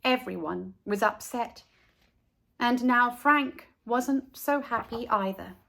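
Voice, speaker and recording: Woman storyteller, high voice, very unhurried pace, children's book narration, storytime host. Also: gravelly, pushed and bright.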